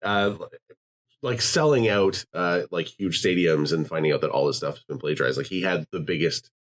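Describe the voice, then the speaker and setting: Low-voiced man chatting on a podcast. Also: moderate pace. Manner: rambling, many fillers and restarts. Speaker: podcast co-host. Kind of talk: casual podcast chat.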